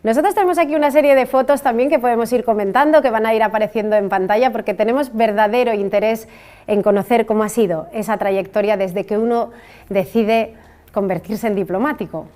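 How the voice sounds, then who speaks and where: A woman with a Spanish accent hosts an interview in a lively, engaging way. Her voice sits fairly high, and she keeps the conversation moving. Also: a little fast. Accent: Spanish from Spain. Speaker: show host. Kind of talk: hosting an interview.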